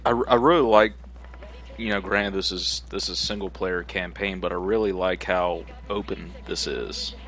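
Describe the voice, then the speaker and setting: A man's voice: low voice, steady tone, moderate pace, fairly bright tone. A man co-commentating on a video game in casual, joking, reactive chat. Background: faint video game sounds.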